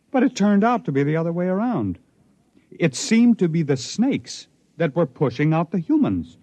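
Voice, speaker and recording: A man's voice: medium pitch, darkish tone, no echo, natural voice. Dramatic actor as a spaceman, vintage radio sound. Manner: storytelling, dramatic, emphatic. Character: stranded human spaceman recounting his life among aliens, proud, intense. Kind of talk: 1950s radio drama acting. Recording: muffled vintage 1950s radio recording.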